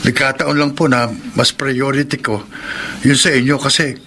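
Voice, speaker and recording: Mid-pitched Filipino man in noisy radio drama. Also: little pitch movement, moderate pace, bright, forceful tone. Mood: apologetic. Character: polite male office worker hiding menacing temper.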